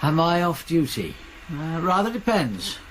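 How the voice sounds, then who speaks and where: A blunt, wry British police sergeant in a radio drama. His conversational delivery swings widely in pitch, over some background noise. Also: big pitch swings, a little slow. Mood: wry, musing.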